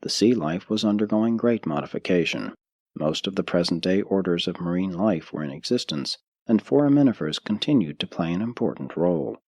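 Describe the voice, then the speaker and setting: A man reading a book aloud as an audiobook narrator, in a measured, steady way with pauses between listed items. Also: low voice, slightly rough, no room sound, clean studio sound.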